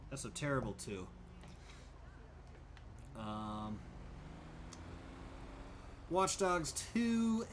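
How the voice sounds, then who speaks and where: A man's voice: fairly high pitch, slight background noise. A young man livestreaming a driving game, chatting casually, thinking aloud and thanking viewers by name. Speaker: streamer. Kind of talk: casual livestream chat while gaming.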